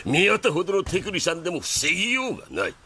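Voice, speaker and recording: An actor playing a stern, gruff older male boxing coach in an anime, barking orders in clipped old-man speech. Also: high-pitched, huge pitch swings, fast talker, bright, forceful tone, a little hiss or hum, full character voice. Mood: lecturing. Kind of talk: anime dub acting. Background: background music.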